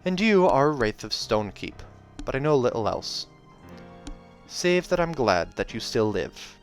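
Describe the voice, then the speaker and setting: A man acts out a young woman of a fantasy village, guarded and quick to bristle. The voice is lifted higher, with clipped rustic phrasing and odd vowels. It belongs to a storybook reading. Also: big pitch swings, soft background music. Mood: formal, edged.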